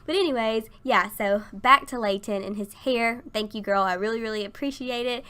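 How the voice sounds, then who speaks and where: A young woman chatting casually through a game voice-over, her voice a little raspy from a sinus infection. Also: fairly high pitch, moderate pace, sharp tone. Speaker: gaming YouTuber.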